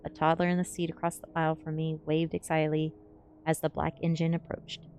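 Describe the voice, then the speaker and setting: A woman's voice: sits low, little pitch movement, a little fast, a little mellow, slight background noise. A woman reading a novel aloud as ASMR storybook narration.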